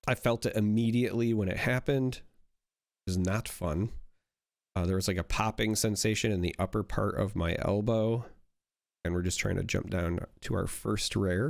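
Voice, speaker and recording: A young man with a fairly low voice talks casually as he opens card packs, rambling and restarting often. His voice still sounds a little strained from a recent illness. He is recorded up close with a dry, dead sound. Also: moderate pace, gravelly, a little mellow, dry recording. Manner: rambling, many fillers and restarts. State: recovering from illness, voice not fully back. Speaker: card game YouTuber opening packs. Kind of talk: casual pack-opening commentary.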